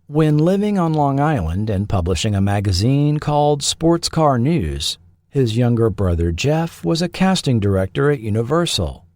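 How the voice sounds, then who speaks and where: A man hosting and narrating a scripted documentary in a measured, deliberate way, letting his phrases fall at the ends. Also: mid-range voice, darkish tone, no echo, studio quality. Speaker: podcast host and narrator.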